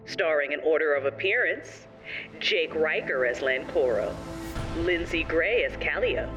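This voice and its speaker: An announcer reads the scripted closing credits of an audio drama. Music plays clearly behind, and the voice is slightly away from the mic. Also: medium pitch, unhurried, recorded from a distance, rough recording.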